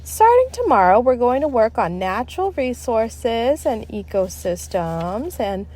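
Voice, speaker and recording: An exasperated lady schoolteacher, as a woman reader puts her on, pitched high and emphatic. She scolds and teases with theatrical flair, like a character in a children's storybook. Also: big pitch swings, a little slow, dark tone. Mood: brisk. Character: exasperated adult female schoolteacher, scolding and teasing.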